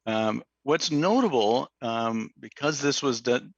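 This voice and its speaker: Hoarse man with gravelly fry. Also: medium pitch, dry recording. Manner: halting, many fillers and restarts. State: slightly hoarse. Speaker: planning consultant presenting to council. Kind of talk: public meeting presentation. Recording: dull, dry lo-fi meeting audio.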